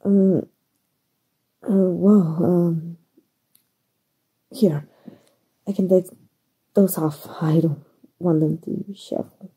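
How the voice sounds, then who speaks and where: Very husky, mellow, flustered young heroine played by a woman. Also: lowish voice, steady tone, slow, long pauses, exaggerated voice, rough recording. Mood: flustered, smitten. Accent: Mexican. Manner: hesitant, stammering, breathy. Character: flustered, soft-hearted young female hero, smitten with villain. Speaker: voice actor. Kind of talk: ASMR roleplay acting.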